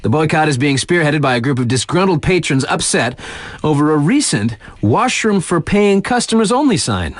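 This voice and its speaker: Animated, sardonic radio DJ. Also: male voice, a little high, moderate pace, no room sound. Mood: upbeat, sardonic. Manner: wry, sarcastic, slangy and conversational. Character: laid-back, sardonic young male mountain-town radio DJ. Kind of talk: scripted local radio news patter.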